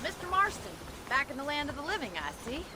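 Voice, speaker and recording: Wry, no-nonsense American rancher. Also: female voice, high-pitched, very animated, quick pace, background noise. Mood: brisk, friendly. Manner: teasing, frank, sardonic. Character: brisk, wry, no-nonsense young woman rancher. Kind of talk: video game voice acting.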